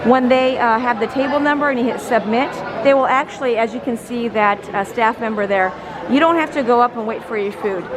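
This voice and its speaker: Hoarse woman vlogging in a noisy park. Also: on the high side, brisk. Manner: chatty, explaining step by step. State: hoarse, losing her voice. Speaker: travel vlogger. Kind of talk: casual vlog narration. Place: busy theme park, indoors and out. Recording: crowded park noise with music. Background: crowd chatter and music.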